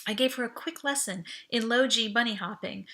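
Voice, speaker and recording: Bright-voiced woman narrating a story. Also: on the high side, brisk. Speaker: author reading an audiobook. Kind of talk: expressive first-person story narration.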